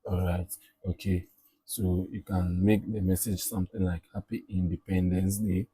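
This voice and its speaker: Male, very mellow dark tone, slightly husky, casual tutorial narration, repetitive check-ins. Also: deep voice, level tone, moderate pace, no room sound. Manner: repeats himself, frequent "okay" and "all right" check-ins. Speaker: tutorial presenter.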